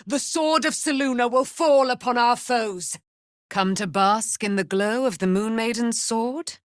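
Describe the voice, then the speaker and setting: A woman's voice: mid-range voice, no room sound, clean studio sound, close to an everyday voice. A woman playing a proud immortal paladin, a moon goddess's warrior daughter, in a fantasy video game, speaking in grand, archaic, theatrical declamation. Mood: noble, earnest.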